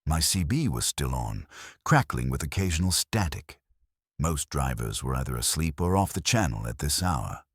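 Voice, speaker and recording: Very deep-voiced man narrating horror stories. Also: very animated, normal speed, slightly rough, no room sound, clean studio sound. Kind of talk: scripted first-person horror narration.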